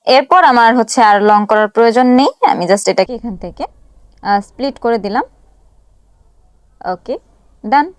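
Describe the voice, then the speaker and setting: A woman presenting a casual step-by-step app tutorial, explaining each action haltingly as she does it. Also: a little high, clear, resonant tone, no echo.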